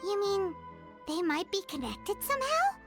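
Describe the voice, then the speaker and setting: A woman voicing a small, curious, excitable childlike fairy companion in a video game, with a squeaky voice. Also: measured pace, exaggerated voice. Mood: intrigued. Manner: exclamatory, refers to self in third person. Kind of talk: video game cutscene acting. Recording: music behind the voice.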